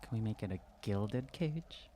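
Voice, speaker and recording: Woman with lively intonation in game chat. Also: extremely low pitch, unhurried, a little hiss or hum. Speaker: player in a tabletop game. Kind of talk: casual improvised game chat.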